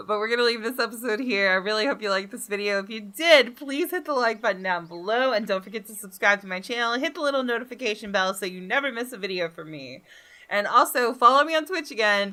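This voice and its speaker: A woman's voice: mid-range voice, lively intonation, a little fast, sharp tone. A woman hosting a visual novel playthrough, reading aloud and reacting with giggles and exclamations. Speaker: gaming video host. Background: guitar game music.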